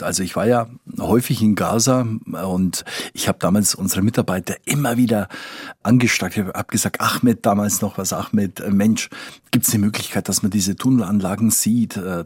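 A man's voice: on the low side, darkish tone, husky, no echo, clean studio sound. A man talking as a correspondent, explaining conversationally, thinking aloud and repeating words for emphasis. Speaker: foreign correspondent, interview guest.

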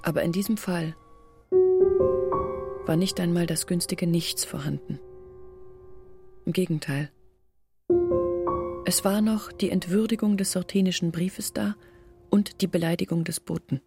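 An actress playing an earnest village woman in a German radio drama, reasoning insistently and deliberately in a husky, gravelly voice. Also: lowish voice, steady tone, loud music behind the voice. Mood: grave, explaining. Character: earnest, argumentative village woman, patiently explaining her family's disgrace.

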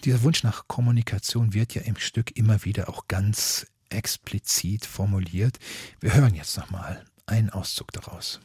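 Husky, low-voiced male moderator. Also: moderate pace, a little mellow, no echo. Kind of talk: prepared interview questions.

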